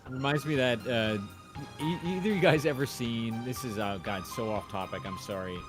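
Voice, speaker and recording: A man's voice: mid-range voice, moderate pace, over music. A man in casual conversation, rambling and wandering off on digressions. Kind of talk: casual chat.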